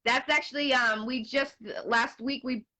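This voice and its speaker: A woman's voice: high-pitched, fairly even intonation, pushed and bright. A woman in her forties hosting a casual interview show, chatty and upbeat. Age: 40s. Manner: chatty, upbeat, quick asides. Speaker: show host.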